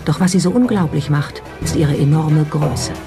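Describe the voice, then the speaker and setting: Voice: mid-range voice, normal speed. A documentary narrator reading a scripted narration. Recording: music under the voice. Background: background music.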